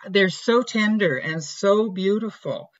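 An eager podcast host who leads the interview and is quick to praise the guest's work. The voice comes through a slightly dull, lo-fi line. The delivery is warm in its agreement and moves at a conversational pace. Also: mid-range voice. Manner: enthusiastic, affirming, quick to praise.

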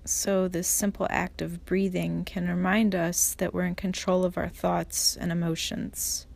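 A woman leading a guided meditation as an instructor, speaking in a calm, measured, soft way with pauses. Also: fairly low pitch, gravelly.

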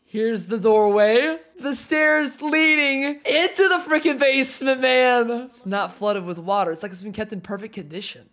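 Excitable young man reacting to anime. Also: extremely high pitch, moderate pace, hardly any pauses. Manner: excitable, exclaims and laughs, reads subtitles aloud. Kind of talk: casual reaction commentary. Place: small home room with guitars and posters. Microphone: headset.

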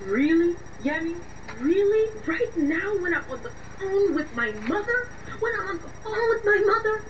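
Brief: a woman as a tearful girlfriend in a radio drama, high voice, muffled lo-fi take. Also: expressive, moderate pace. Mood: pleading. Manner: flustered, pleading, voice cracking with tears. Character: young woman secretly hiding her lover from her mother. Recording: slightly distant, muffled, faint hiss.

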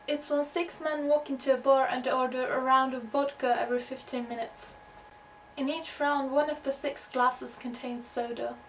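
A young woman with a Finnish accent speaks slowly in a high voice, delivering comic lines completely deadpan. She sounds like a home video blogger, a little away from the mic, with faint hum behind her. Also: recorded from a distance, low-quality recording. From Finland. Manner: deadpan, measured. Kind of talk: scripted comedy monologue to camera. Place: small indoor room at home with bookshelves.

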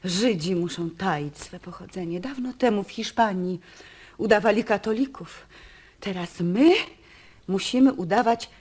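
An actress playing a calm, wise woman in a radio drama, with a husky voice. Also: medium pitch, measured pace. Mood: resolute, wistful. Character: calm, wise woman recalling her people's history. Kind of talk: Polish radio drama acting.

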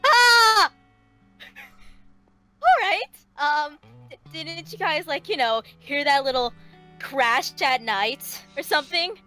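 A playful schoolgirl played by a woman in a game roleplay, high and bright. She speaks girlishly and teasingly, with lively pitch and an easy slower pace over music. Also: exaggerated voice. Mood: teasing, exasperated. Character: playful, teasing girl student at a duelling academy. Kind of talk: hobby Minecraft roleplay.

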